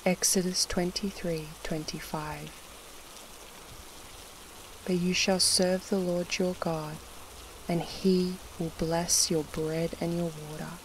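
Slow solemn scripture reader for sleep meditation, short phrases w pauses, soft rain going behind. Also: mid-range voice, slight background noise. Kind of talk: slow devotional scripture reading and prayer. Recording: steady rain sound over the voice.

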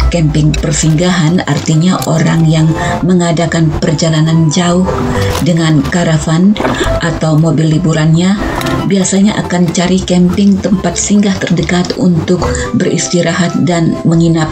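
A middle-aged woman narrating her travel cooking vlog as a voice-over, in a calm, explanatory way. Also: deep voice. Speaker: vlog host. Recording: narration over loud background music.

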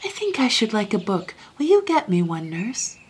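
A woman voicing an eager, curious young boy king in a storybook, impatient and quick to interrupt. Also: medium pitch, big pitch swings, a little hiss or hum, pitched above the performer's own voice, natural voice.

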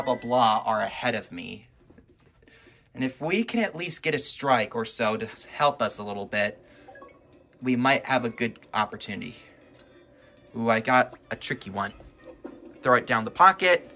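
A man with a bright, forceful voice gives casual commentary over a video game. He is excitable and reacts aloud as he plays. He is recorded at home, with faint game music behind him. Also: mid-range voice, unhurried, long pauses. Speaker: gaming video host. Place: indoors at home, filming a TV.

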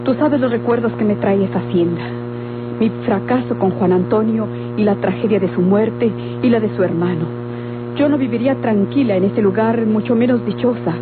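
A woman's voice: mid-range voice, moderate pace, close to an everyday voice. Tinny old-radio Mexican bride-to-be, played by a woman. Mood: firm, demanding. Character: determined, demanding young bride-to-be, daughter. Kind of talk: golden-age Mexican radio drama acting. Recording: tinny old radio recording, music behind.

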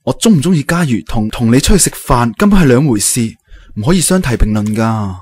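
An actor playing an earnest, devoted young suitor in a radio drama, speaking insistently and with some guilt. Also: male voice, mid-range voice, big pitch swings, a little fast, darkish tone, husky. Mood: pleading. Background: music.

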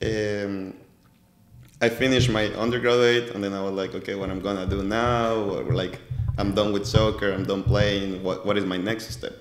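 A Colombian man in his twenties speaks English with a Spanish accent, relaxed and laughing easily, filling pauses with "like". His voice sits low. The office studio around him sounds roomy and echoey. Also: slight background noise. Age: 20s. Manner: easygoing, laughs often, many "like" fillers. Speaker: podcast guest, goalkeeper coach. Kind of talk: casual interview chat. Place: office room used as a podcast studio. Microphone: studio mic on a stand, headphones.

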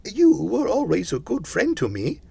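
High wobbly old miser voice, timid, pleading, husky, storybook style. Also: male voice, wildly swooping pitch, moderate pace, mellow, full character voice. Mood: frightened, pleading. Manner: faltering, high-pitched, deferential.

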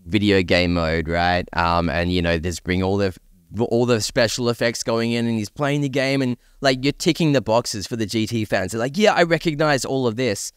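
A man's voice: lowish voice, normal speed, smooth, no echo, clean studio sound. A man talking enthusiastically and emphatically as a panelist in a casual discussion. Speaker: podcast panelist discussing films and games.

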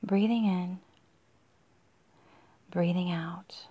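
Hushed, breathy woman guiding a slow meditation. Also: fairly low pitch, steady tone, muffled recording. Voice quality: breathy, husky. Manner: soft, breathy, near-whispered delivery with long pauses. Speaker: meditation guide. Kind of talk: guided meditation.

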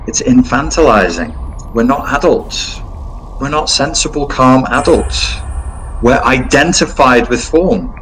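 A man's voice: mid-range voice, animated, measured pace, fairly bright tone, a little hiss or hum, rough recording. A man giving an informal monologue in a blunt, emphatic, repetitive way.

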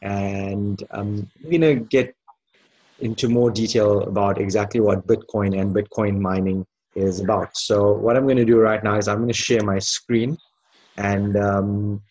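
A South African man giving an online business presentation in a measured, deliberate way. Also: lowish voice, steady tone, darkish tone, breathy edge, dry recording, highs cut off. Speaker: guest presenter. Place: indoor room, on a video call.

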